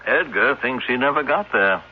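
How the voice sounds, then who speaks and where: An actor playing a grave, suspicious man in a radio drama, with a husky voice. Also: medium pitch, lively intonation, moderate pace. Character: grave, suspicious man confiding his fears. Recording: tinny old radio broadcast.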